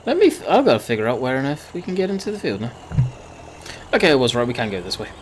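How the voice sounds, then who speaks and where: A man talks his way through a game in a relaxed, wandering way, pausing to fix his own words as he goes. His voice sits at an ordinary pitch and is a little croaky. A faint engine hum from the game runs underneath. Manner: rambling, chatty, self-correcting. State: slightly croaky voice. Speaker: gaming video host. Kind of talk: casual gameplay commentary.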